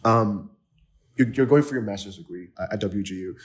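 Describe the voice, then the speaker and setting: A man's voice: on the low side, fairly quick. A man from Nigeria hosting a podcast interview, talking with enthusiasm and lots of fillers and restarts. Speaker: podcast host. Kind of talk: casual interview chat.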